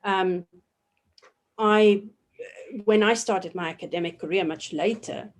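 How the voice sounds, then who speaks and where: A woman in her forties from South Africa, a professor giving measured, reflective answers in an interview, often pausing with ums and restarting her sentences. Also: medium pitch. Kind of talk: thoughtful academic interview answers.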